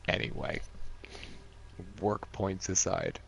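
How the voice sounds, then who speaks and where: A man's voice: low-pitched, huge pitch swings, slow pace, vocal fry, a bit bright. A man playing a tabletop game, chatting casually in a blunt, sweary way, with some background noise.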